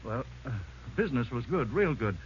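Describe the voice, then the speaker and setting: An actor playing an anxious, talkative bar owner in an old radio crime drama. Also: male voice, mid-range voice, expressive, moderate pace, natural voice. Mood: anxious, explaining. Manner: agitated, chatty, anxious. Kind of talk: 1940s-50s radio drama acting. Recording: muffled old radio recording, faint noise.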